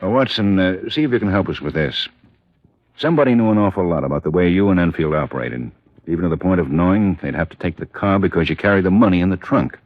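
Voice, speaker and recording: An actor playing a brisk, businesslike American police chief, direct and questioning, in an old radio drama. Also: male voice, low-pitched, expressive, no room sound. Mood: pressing. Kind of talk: 1950s radio drama acting. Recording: dull vintage radio recording.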